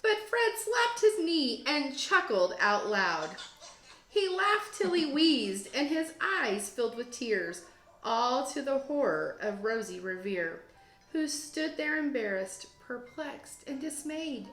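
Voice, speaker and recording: A woman teacher reading a children's storybook aloud with expressive, deliberate storytelling phrasing. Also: high voice. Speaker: teacher, guest read-aloud host. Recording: roomy echo, music behind. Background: storybook app music.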